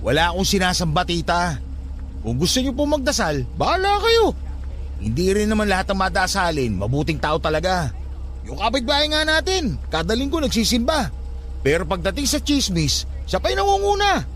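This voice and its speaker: Radio drama nephew: high voice, very animated, sarcastic and argumentative. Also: male voice, normal speed, exaggerated voice. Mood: defiant, annoyed. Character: irritable, blunt skeptical nephew, a grown man. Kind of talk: Tagalog radio drama acting.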